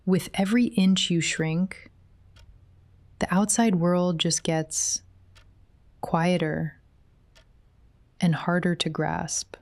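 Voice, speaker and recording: Woman acting as possessive female therapist, low-pitched, very slow, soft, coaxing, hypnotic ASMR roleplay. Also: steady tone, no room sound. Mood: soothing, hypnotic.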